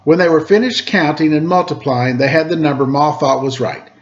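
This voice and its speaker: A man's voice: fairly high pitch, fairly quick, highs cut off. A man reading a children's picture book aloud as a storyteller. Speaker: storyteller, teacher.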